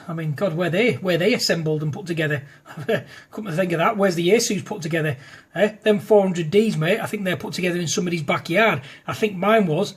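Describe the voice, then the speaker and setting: A man chatting easily on a ham radio, often saying mate and clearing his throat. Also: a little high. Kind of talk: casual radio chat.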